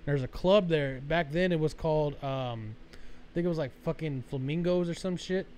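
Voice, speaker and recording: A man's voice: a little high, moderate pace, darkish tone, slight background noise. A man hosting a podcast in casual chat, a chatty interviewer who swears freely. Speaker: podcast host.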